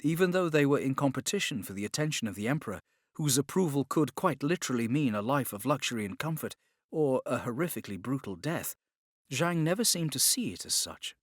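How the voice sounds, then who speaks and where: A man's voice: mid-range voice, big pitch swings, brisk, no room sound, studio quality. A man reading scripted dramatic narration as an audiobook narrator.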